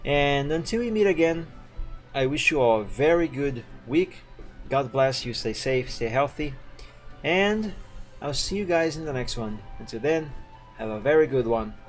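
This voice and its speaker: Guy chatting on his art stream at home, kinda rambly, lots of ums, soft music playing under him. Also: mid-range voice, expressive, moderate pace. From Brazil. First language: Portuguese. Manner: rambling and chatty, frequent fillers and self-corrections. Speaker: livestreamer drawing live art. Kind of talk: casual stream chat.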